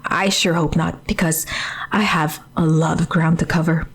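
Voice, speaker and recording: A woman's voice: low-pitched, steady tone, moderate pace, breathy edge. A woman playing a hopeful young survivor, musing to herself and bolstering her courage in a post-apocalyptic audio drama. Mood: nervous, determined. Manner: musing, self-encouraging. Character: hopeful, tentative young woman braving a broken world. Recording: music under the voice.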